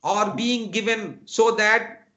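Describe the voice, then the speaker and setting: A man with a high voice and a Tamil Nadu Indian English accent lectures students over a dull, lo-fi online call. He talks earnestly and repeats his points, often calling his listeners "my dear friend". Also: slow, pushed and bright. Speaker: guest speaker. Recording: dull lo-fi online talk audio.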